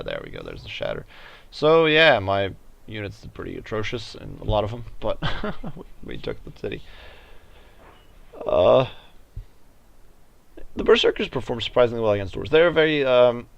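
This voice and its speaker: A man giving casual commentary over a strategy game, thinking out loud in a hesitant, halting way. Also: lowish voice, sing-song, creaky. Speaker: gaming video host.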